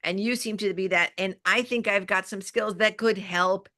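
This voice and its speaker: An energetic woman talks as a podcast guest, punching her points and repeating key phrases. Her voice is bright and crisp at a middle pitch. She speaks with eager, emphatic energy. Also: normal speed. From Los Angeles area, US. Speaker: guest, PR and marketing coach. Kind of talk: casual interview chat.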